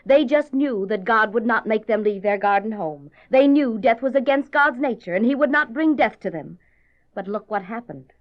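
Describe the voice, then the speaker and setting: A woman plays a dutiful, middle-aged mother in an old radio drama. She speaks formally and firmly in a fairly high voice. The sound is dull and lo-fi, like a worn cassette. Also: lively intonation, normal speed, natural voice. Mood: doubting. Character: proper, measured mother of grown daughters, dutiful but questioning.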